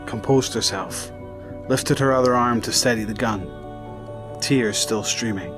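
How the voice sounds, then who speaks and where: A man narrating an audio drama in a solemn, deliberate, dramatic scripted style. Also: mid-range voice. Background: music.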